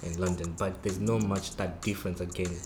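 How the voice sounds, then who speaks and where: A man's voice: low-pitched, flat delivery, a little fast. A young man from Kenya with a Nairobi accent, chatting casually and laughing often. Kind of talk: casual chat. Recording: echoey room with faint noise.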